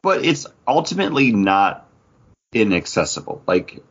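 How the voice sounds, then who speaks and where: A man's voice: fairly low pitch, sing-song, normal speed, fairly bright tone, slightly rough. A man co-hosting a comics podcast in casual chat, wry and talkative, with lots of "like". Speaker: podcast co-host. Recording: dull lo-fi podcast audio.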